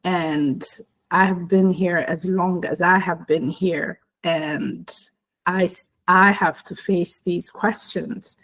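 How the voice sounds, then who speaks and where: Slow-spoken woman from Ethiopia. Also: lowish voice, steady tone, a bit bright. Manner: unhurried, thoughtful, with many pauses and ums. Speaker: guest speaker. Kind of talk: personal talk to a webinar audience. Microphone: video call.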